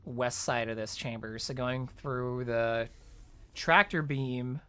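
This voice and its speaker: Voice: medium pitch, fairly even intonation, slow, a bit bright, clear, resonant tone. Chatty gamer giving a casual walkthrough. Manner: chatty, informal, mild swearing; thinks aloud, explaining as they play. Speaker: streamer playing an online game. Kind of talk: casual game walkthrough commentary.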